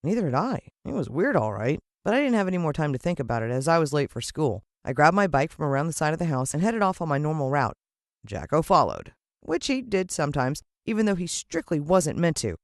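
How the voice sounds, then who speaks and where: American female storyteller for children's audiobook: highly varied pitch, dramatic emphasis, close dry sound. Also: sing-song, a little fast, no echo. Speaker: audiobook narrator. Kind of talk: expressive children's story narration.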